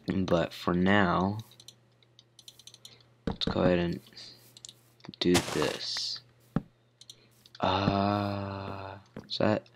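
Low, slow, hoarse young guy gaming. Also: monotone, slight background noise. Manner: rambling, lots of fillers. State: hoarse from a cold. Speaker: gamer. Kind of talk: casual gameplay commentary.

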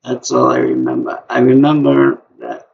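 A middle-aged man with a high-pitched voice hosting a live-stream trivia game from a small room at home, talking slowly and repeating himself in a chatty way. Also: big pitch swings, gravelly, muffled recording. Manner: slow, repetitive, chatty.

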